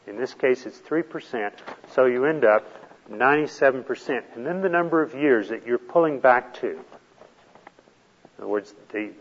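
A man's voice: medium pitch, in a large hall, highs cut off. A man teaching a retirement planning class, explaining step by step in a patient, plain way. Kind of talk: classroom lecture.

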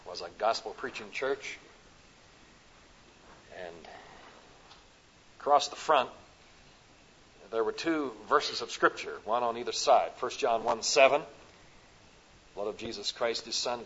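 A man from the American Midwest with a Midwestern accent, preaching a sermon at a conference, rising to loud emphatic peaks and dropping to soft, slow phrases. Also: mid-range voice, husky. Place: conference hall. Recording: dull lo-fi recording.

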